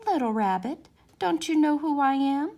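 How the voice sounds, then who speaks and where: A woman voicing a gentle nursery magic fairy in a storybook, kindly, calm and reassuring. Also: high-pitched, normal speed, voice pushed up.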